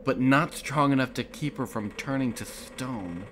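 A man's voice: medium pitch, measured pace, a bit bright, a little hiss or hum, natural voice. A man voicing a jaded, sarcastic young adventurer in a cartoon parody, with a dry, casual manner. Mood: earnest.

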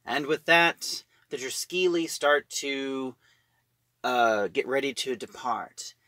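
A man giving a casual, informal story recap in an animated storytelling way with dramatic pauses. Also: medium pitch, no room sound, studio quality. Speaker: reviewer.

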